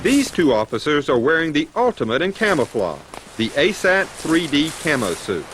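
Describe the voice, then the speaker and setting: A man with an American accent narrating a scripted training film as an instructor, methodical and clear in his delivery. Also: fairly high pitch, sing-song, normal speed, fairly bright tone. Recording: noisy 1990s training film soundtrack.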